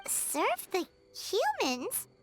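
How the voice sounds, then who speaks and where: A tiny, brash girl companion from a video game. The voice is very high and squeaky, unhurried and swooping, with cheeky, indignant delivery. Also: wildly swooping pitch, slight background noise, exaggerated voice. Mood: puzzled. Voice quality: squeaky, childlike. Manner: exclaiming, scolding, cheeky. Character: small, brash, indignant childlike female companion. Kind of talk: animated video game voice acting.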